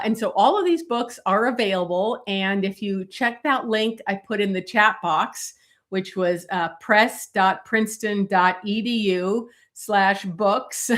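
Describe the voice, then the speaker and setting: A middle-aged woman illustrator chatting casually on a live stream, with many fillers and a voice going hoarse. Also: medium pitch, fairly bright tone. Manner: many fillers, talks while showing pages. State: losing her voice, coughing.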